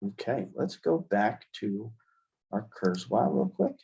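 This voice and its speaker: Muffled, mellow American man teaching software. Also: on the low side, animated, slow. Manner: explanatory, step-by-step. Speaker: assistive technology trainer. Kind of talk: casual webinar software demonstration. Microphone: headset.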